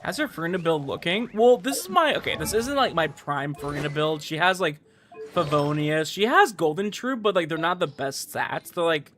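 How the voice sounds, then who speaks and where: A man's voice: on the high side, animated, normal speed, fairly bright tone, a little hiss or hum. A man streaming a game, chatting casually with viewers and thinking aloud as he goes. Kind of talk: casual livestream chat over gameplay. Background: game music.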